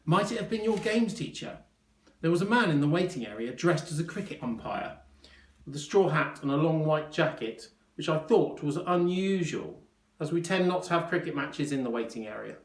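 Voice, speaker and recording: Man acting a flustered, excitable storybook doctor. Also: fairly high pitch, reverberant, pitched above the performer's own voice. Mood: eager, excited. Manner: panicky exclamations and rapid eager questioning. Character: flustered, excitable, bumbling male hospital doctor. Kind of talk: children's storybook character reading.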